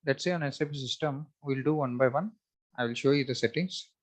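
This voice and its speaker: A male trainer with a mid-pitched voice walks through a software tutorial step by step. He talks as he works, in short halting phrases, often repeating himself as he thinks aloud and instructs.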